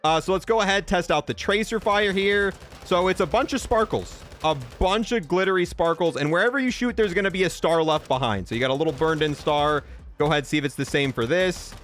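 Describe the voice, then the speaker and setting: A man's voice: sits high, brisk, fairly bright tone, soft background music. A man hosting a video game review, giving casual, informal commentary and thinking aloud as he tests things.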